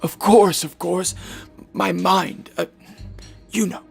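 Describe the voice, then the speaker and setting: Eccentric doctor, gravelly, grave and fervent, theatrical radio drama lecturer, music underneath. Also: male voice, sits high, steady tone, heavily acted. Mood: eccentric, eager. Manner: theatrical, deliberate lecturing delivery.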